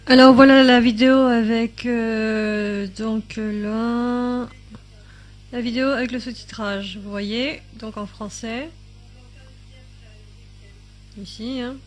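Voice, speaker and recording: A woman giving a casual tutorial while thinking aloud at her computer, with many fillers, now and then sounding out translated subtitles in other languages. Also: a little high. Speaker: YouTube tutorial maker. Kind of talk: casual screen-recording tutorial.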